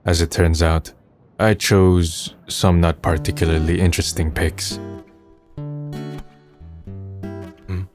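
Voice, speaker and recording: A man plays a jaded young man in a manga drama, with a very low voice. He narrates and talks in a reflective, understated way with a dry, blunt edge. Music plays clearly behind him. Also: level tone, unhurried. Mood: low-key, casual. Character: weary, cynical young man narrating his final days. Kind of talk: fan manga dub, first-person narration and dialogue.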